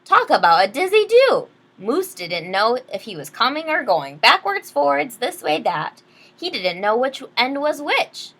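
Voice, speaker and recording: A woman reading a children's picture book aloud expressively, pausing to explain things to young listeners. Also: high-pitched, big pitch swings, a bit bright. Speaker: read-aloud storyteller.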